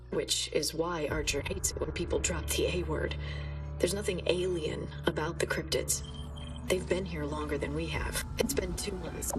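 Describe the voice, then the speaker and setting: A woman playing a hushed uneasy scientist. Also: deep voice, far from the mic, lightly acted. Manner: hushed, reflective journal narration. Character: isolated female scientist, uneasy and curious. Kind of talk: game audio log narration.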